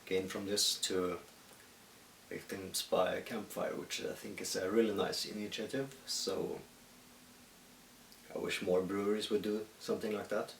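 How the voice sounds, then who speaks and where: Gravelly low-voiced Swedish man, echoey. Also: little pitch movement. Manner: halting, thoughtful, many pauses. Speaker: musician. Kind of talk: reflective solo monologue. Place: at home in the countryside. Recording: echoey room, distant mic, faint hiss.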